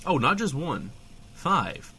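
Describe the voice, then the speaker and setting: A man playing a glib, excitable small-town radio host in scripted comedy banter. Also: a little high, slow pace, creaky, a little hiss or hum, heavily acted. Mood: curious.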